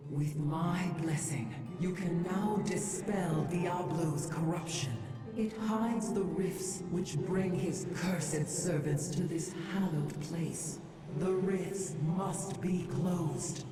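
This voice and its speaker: An actress playing a serene, noble archangel of hope in a fantasy game, solemn, graceful and encouraging. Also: female voice, on the low side, takes it slowly. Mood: solemn gratitude. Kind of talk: dramatic fantasy game acting. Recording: heavily echoing, distant, music behind.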